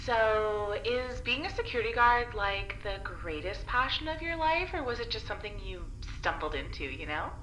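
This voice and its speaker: A woman's voice: mid-range voice, expressive, moderate pace, few pauses, pushed and bright, echoey room, a little hiss or hum, low-quality recording. A woman playing a cheeky young female prisoner in a naturalistic audio drama, prying and teasing with dry, sardonic wit.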